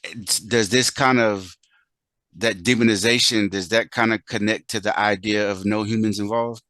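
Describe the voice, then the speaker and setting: A man with a lowish voice, recorded close and dry, hosts a casual interview. He searches for words, starting and restarting his questions before landing them. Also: steady tone, a bit bright, no echo. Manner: halting, searches for words, rephrases repeatedly. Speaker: interview host.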